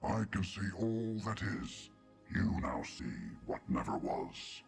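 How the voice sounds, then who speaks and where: An actor voicing an ancient, solemn, all-seeing being in a fantasy game, gravelly and portentous, with deliberate delivery. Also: male voice, sits low, very animated, exaggerated voice. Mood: solemn, foreboding. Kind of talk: scripted fantasy game dialogue. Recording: game audio over background music.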